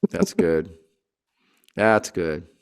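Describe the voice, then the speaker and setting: A man hosting a casual podcast interview, playful and quick to riff on jokes. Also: low-pitched, smooth. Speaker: podcast host.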